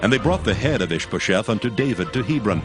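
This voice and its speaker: A man narrating a dramatized Bible in a measured, solemn storytelling style. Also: fairly low pitch, lively intonation, music in the background.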